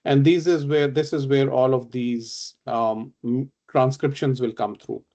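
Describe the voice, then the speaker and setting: A man from Pakistan, a native Urdu speaker, presenting a software demo in a webinar, explaining step by step with fillers and restarts. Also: mid-range voice, fairly even intonation, a little slow, dull audio.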